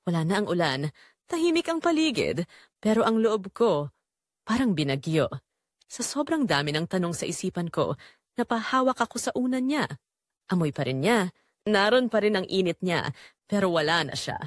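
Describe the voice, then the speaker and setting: A brooding, guilt-torn narrator played by a woman confesses in a scripted audio drama. The delivery is slow and deliberate with widely swinging intonation, in a mid-pitched voice recorded very dry and close. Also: sing-song, dry recording. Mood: torn, melancholy. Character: Jomar, brooding, guilt-torn man confessing a forbidden romance.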